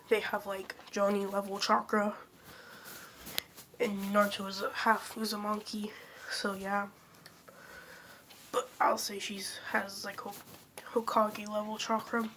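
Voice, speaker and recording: A young woman chats casually to her viewers, her voice hoarse because she is getting sick. She talks slowly and in a flat, even tone, often correcting herself mid-thought. A faint hum of room noise sits behind her. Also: medium pitch. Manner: rambling, many fillers and self-corrections. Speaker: channel host. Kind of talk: casual fan-theory chat.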